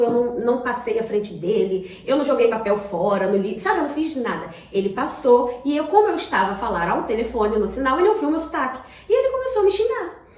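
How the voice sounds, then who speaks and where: A Brazilian woman co-hosting a casual talk, sharing personal experience in a halting way with repeated words. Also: high-pitched, a little mellow. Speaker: talk show co-host. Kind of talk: casual conversation, personal anecdotes. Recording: echoey room.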